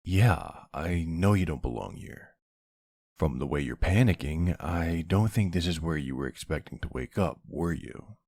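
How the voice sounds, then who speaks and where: A man playing a centuries-old vampire hunter in an ASMR roleplay, gruff, wry and suspicious, questioning someone in a brusque, intimate way. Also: low-pitched, lively intonation, a little mellow, dry recording. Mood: probing, guarded. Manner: brusque, wry, slow interrogating delivery with pauses. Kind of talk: ASMR roleplay, intimate one-sided dialogue.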